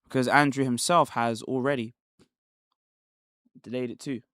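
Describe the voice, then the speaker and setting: A man from Nigeria hosting a casual commentary livestream, talking in an animated, rhetorical way with laughs between points. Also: medium pitch, normal speed, dry recording, studio quality. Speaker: livestream host.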